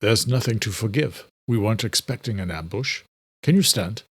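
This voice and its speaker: A man's voice: fairly low pitch, lively intonation, normal speed, husky. A man voicing a commanding, vengeful vampire king in an audiobook, grave and authoritative. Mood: relieved. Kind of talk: dramatic audiobook character voice.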